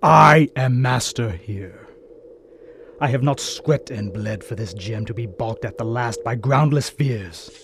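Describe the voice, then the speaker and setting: Greedy, commanding adventurer, unhurried imperious speech, theatrical audio drama, noisy backdrop. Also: male voice, medium pitch, gravelly. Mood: menacing defiance. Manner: imperious, archaic, declamatory. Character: proud, greedy, defiant treasure-seeker claiming mastery.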